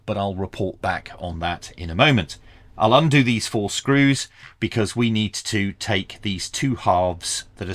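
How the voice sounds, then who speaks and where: A man with a fairly low voice narrates a hands-on gear review. He works through instructions methodically, one step at a time, like a practical tutorial. The recording is very dry and close, with hardly any room sound. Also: no room sound. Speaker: presenter of radio equipment reviews.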